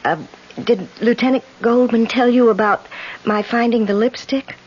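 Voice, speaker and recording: A woman's voice: fairly high pitch, normal speed, darkish tone, natural voice. An actress playing an anxious, suspicious landlady in an old-time radio drama, flustered and stammering as she talks. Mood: nervous, confiding. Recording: muffled, noisy old radio recording.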